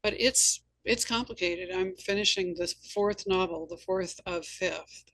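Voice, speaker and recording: A woman host and novelist talking conversationally about writing, with measured, articulate phrasing. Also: lowish voice, fairly bright tone, poor audio. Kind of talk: conversational literary discussion.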